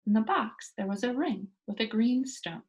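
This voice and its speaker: A woman reading a children's storybook aloud in an expressive narrating style. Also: on the high side, normal speed, husky. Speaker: storybook reader.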